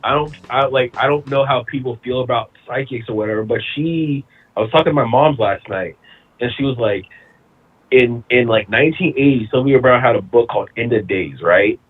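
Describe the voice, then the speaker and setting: A man's voice: medium pitch, a little fast, husky, narrow, tinny audio, soft background music. A man in his thirties chatting casually on a podcast, blunt and emphatic, often repeating himself as he builds a point. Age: 30s. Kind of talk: casual chat.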